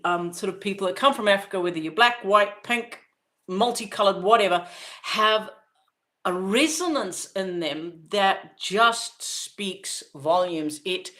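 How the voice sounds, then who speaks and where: A woman's voice: medium pitch, fairly bright tone. A woman in her fifties from Zimbabwe, hosting a podcast in a casual, rambling monologue full of fillers and restarts. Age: 50s. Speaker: podcast host.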